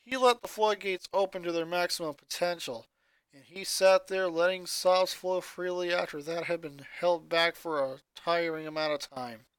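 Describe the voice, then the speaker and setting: A narrator reading a fanfiction story aloud, telling it in a steady, earnest way. the voice is bright and clear at a middle pitch, recorded close and dry with no room sound. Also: moderate pace, no room sound, poor audio. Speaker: fanfiction reader.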